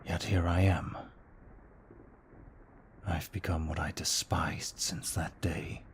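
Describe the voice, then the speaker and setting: A man playing a gentle, remorseful vampire in an ASMR roleplay, speaking slowly and formally in a soft, breathy near-whisper. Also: low-pitched, level tone, a little hiss or hum, exaggerated voice. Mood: haunted, sorrowful. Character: brooding remorseful male vampire, gentle and protective. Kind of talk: ASMR roleplay, scripted monologue.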